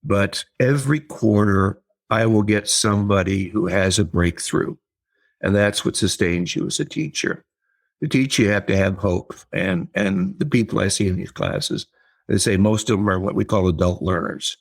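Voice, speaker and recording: Low-voiced older man, halting and thoughtful. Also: creaky. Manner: thoughtful, halting with many fillers and repeats. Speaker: author and writing teacher. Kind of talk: casual interview conversation.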